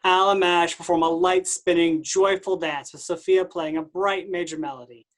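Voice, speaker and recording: A woman's voice: on the low side, fairly even intonation, moderate pace. A woman reading out scripted stage directions as the narrator of a play reading.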